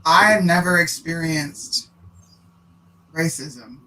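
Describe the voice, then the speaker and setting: A man's voice: on the high side, slow, sharp tone, rough recording. A man who hosts a podcast, making his points emphatically in a casual discussion. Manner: emphatic, argumentative. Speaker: podcaster guest.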